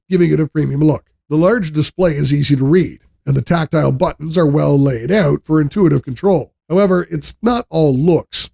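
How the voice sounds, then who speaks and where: A man narrating a scripted product review in a measured, explanatory way. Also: fairly high pitch, gravelly, darkish tone.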